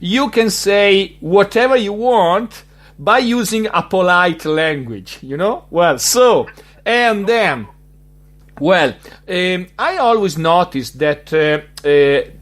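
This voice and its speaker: A man's voice: high voice, expressive, takes it slowly, fairly bright tone. An Italian man with an Italian accent hosting a casual interview, speaking hesitantly with many fillers. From Italy. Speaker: podcast host.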